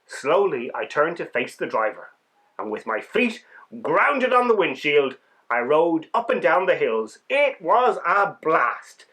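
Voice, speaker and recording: A man voicing a small, excitable winged insect telling its adventure in a children's storybook, wide-eyed and dramatic. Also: on the high side, unhurried, full character voice. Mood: thrilled. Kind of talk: children's storybook read-aloud.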